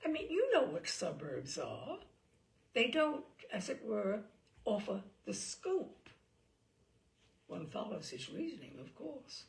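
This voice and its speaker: A woman storyteller narrating a humorous short story in an arch, theatrical, expressive way. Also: mid-range voice, takes it slowly, creaky. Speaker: story reader.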